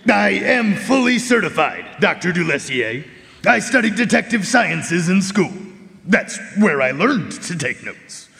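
An actor playing a polite, scholarly talking dog detective in a live radio drama, speaking with courteous precision. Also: male voice, sits high, big pitch swings, unhurried, vocal fry, sharp tone, heavily acted. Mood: polite, self-assured. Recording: live concert hall with reverb.

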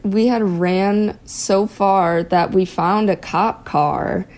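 A woman's voice: medium pitch, fairly even intonation, slow, a little mellow. A young American woman casually recalling an experience, chatty and often saying "like". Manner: chatty, peppered with "like". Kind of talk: casual recollection.

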